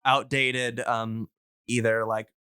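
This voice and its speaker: A man in a casual explanatory podcast chat, talking in a hesitant, halting way as he thinks aloud. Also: medium pitch, little pitch movement, sharp tone, smooth, no echo, clean studio sound.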